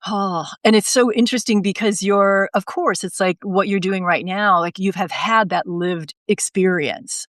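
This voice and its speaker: A woman's voice: medium pitch, normal speed, few pauses, a bit bright, no echo, studio quality. A woman hosting a live video interview, speaking candidly and chattily as she frames her questions. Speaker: host. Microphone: video call.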